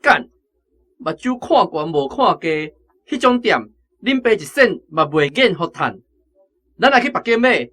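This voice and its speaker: An actor playing a hot-tempered, cheeky young soldier in a radio drama, blunt and cocky. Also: male voice, high-pitched, sing-song, unhurried, pushed and bright, full character voice. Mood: indignant. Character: hot-tempered, cheeky young male army conscript on errands. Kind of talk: Taiwanese-language radio drama acting.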